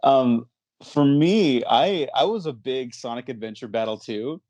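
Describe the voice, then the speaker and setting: A man's voice: medium pitch, lively intonation, unhurried, a little mellow, dry recording, muffled recording. Casual male podcast host, dry sound. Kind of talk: casual chat on a movie podcast.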